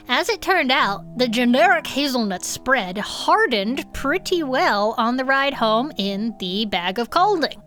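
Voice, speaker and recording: A woman's voice: sits high, a bit bright, heavily acted. A woman playing a chipper, easily sidetracked cryptid-club co-host who reads case reports and banters. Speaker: podcast co-host.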